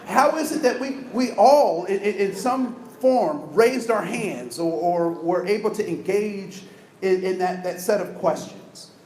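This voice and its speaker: A man giving an energetic, interactive diversity training talk, asking the audience questions. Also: high-pitched, measured pace, roomy sound. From United States.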